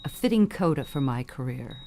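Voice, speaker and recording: A woman's voice: rumbling low, animated, a little fast, creaky, dark tone, slight background noise, exaggerated voice. An actress playing an elderly, dying perfume mogul, an imperious and cutting mother with genteel, falsely sweet manners. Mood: condescending. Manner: genteel, commanding, condescending endearments. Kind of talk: audio suspense drama acting.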